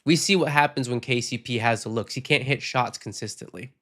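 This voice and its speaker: A man's voice: mid-range voice, a little fast, a bit bright. Man with a tired, sick voice. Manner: frank, rambling, reads viewer comments aloud. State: sick, losing his voice. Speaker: podcast host. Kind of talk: casual postgame chat reading comments.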